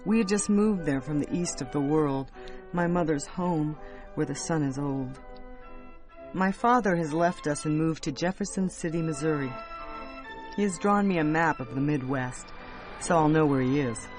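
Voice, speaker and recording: An actor playing a wistful emigre recalling childhood, with earnest, measured storytelling in a radio drama monologue over music. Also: male voice, on the high side, natural voice. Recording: faint music under the voice.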